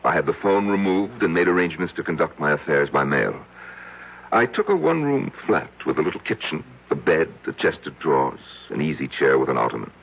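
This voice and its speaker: Over a tinny old radio broadcast comes a self-loathing, betrayed husband in middle age. His voice is extremely deep and full of gravel, and he confesses in hesitant, repeated fragments. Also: very animated, a little hiss or hum, heavily acted. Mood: quiet, matter-of-fact. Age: middle-aged. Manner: halting, hesitant, repeating himself. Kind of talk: radio drama acting.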